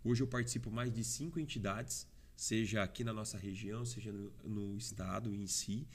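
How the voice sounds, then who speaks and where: A man's voice: fairly low pitch, steady tone, moderate pace. A middle-aged man, a trucking business owner, answering interview questions in an earnest, explanatory way with frequent fillers. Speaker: trucking company owner, guest. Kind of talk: casual interview chat.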